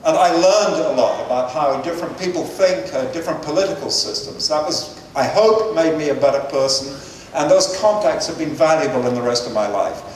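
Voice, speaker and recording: An older man, a guest speaker, gives measured, earnest answers to audience questions, with frequent fillers. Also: on the high side, expressive. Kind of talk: lecture-hall Q&A answers. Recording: echoey hall, distant mic, faint noise.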